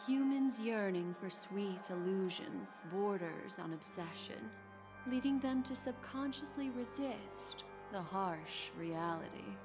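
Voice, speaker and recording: A woman playing a poised, enigmatic keeper of memories in a video game, elegant and deliberate. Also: medium pitch, animated, over music. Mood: composed, grave. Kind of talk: video game character acting.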